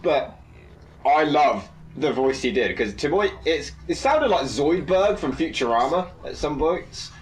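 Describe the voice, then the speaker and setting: A man's voice: a little high, very animated, unhurried, rough recording. A man who made and acted in the film, talking casually on a cast commentary. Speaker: filmmaker and actor on a cast commentary.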